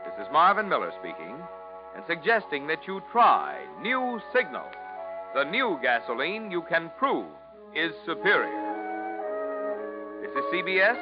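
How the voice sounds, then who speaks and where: A man's voice: a little high, wildly swooping pitch, unhurried. A man announcing in polished, emphatic 1940s radio commercial style, selling as he speaks. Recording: muffled vintage radio recording, faint music.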